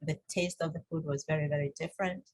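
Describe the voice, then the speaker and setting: A woman with a Kenyan accent telling her story conversationally as an interview guest, in an informal, halting way with many "you knows". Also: low-pitched, fairly even intonation, darkish tone, breathy edge, no echo. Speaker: interview guest, holistic health coach.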